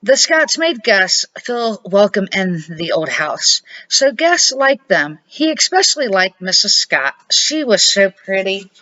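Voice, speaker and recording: A middle-aged or older woman, a grandmother reading a children's storybook aloud in a deliberate, expressive amateur way. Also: medium pitch. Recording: dull lo-fi home recording.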